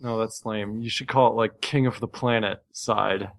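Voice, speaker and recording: A man's voice: fairly low pitch, fairly even intonation, moderate pace. A man co-hosting a gaming podcast, bantering casually in a blunt, joking manner. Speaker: podcast co-host.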